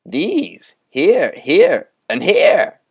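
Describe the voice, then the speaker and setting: A man's voice: high-pitched, huge pitch swings, extremely slow, breathy edge, no room sound, studio quality, voice pushed up, heavily acted. A man reading an audiobook, voicing a grand, theatrical old male trickster guide who declaims in a showy, sweeping way. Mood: commanding.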